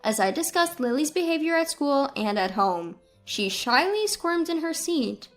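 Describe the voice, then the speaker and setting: A woman's voice: sits high, sing-song, measured pace, soft background music. A woman reading a scripted first-person family story as its narrator.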